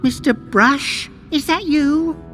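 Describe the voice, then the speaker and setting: A man's voice: extremely high pitch, slow, fairly bright tone, soft background music, full character voice. A man voicing a tiny, fussy, timid male cartographer in a pirate video game, easily indignant.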